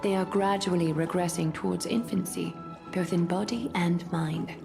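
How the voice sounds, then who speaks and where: Creaky, commanding regal lady. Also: on the low side, steady tone, over music. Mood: composed. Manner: formal, measured, authoritative. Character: composed, regal adult woman leader, dry and commanding. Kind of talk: video game voice acting.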